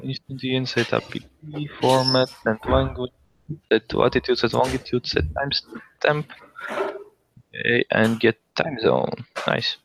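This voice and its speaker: A man's voice: medium pitch, fairly even intonation, a little slow, vocal fry, slight background noise. A man hosting a casual tech show, reading out listings and thinking aloud as he goes. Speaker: podcast host. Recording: dull lo-fi sound.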